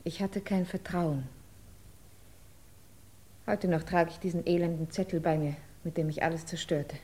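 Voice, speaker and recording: An actress playing an anguished woman in a 1950s radio drama, speaking in emotive, deliberate phrases. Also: lowish voice, steady tone, warm tone, close to an everyday voice. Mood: subdued, remorseful. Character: anguished woman confessing lost love, turning desperate.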